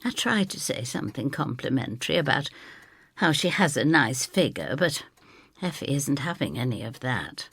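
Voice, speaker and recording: Older woman narrating a story, very animated pitch. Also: moderate pace, husky, no echo, studio quality. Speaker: first-person narrator. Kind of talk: scripted dramatic story narration.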